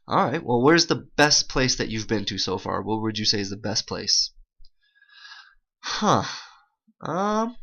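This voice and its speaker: Casting: middle-aged male, lowish pitch, sharp bright edge, loose conversational voice-over with stop-start phrasing, slightly lo-fi recording. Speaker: interviewer and interpreter voicing over a signed interview. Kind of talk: casual interview voice-over, interpreted live in one take.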